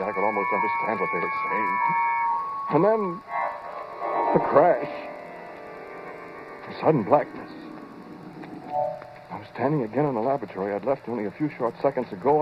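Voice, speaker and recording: An actor playing a haunted inventor who narrates a terrifying experiment in an old-time radio horror drama, halting and intense. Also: male voice, mid-range voice, natural voice. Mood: terrified, agitated. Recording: muffled old radio recording, music behind.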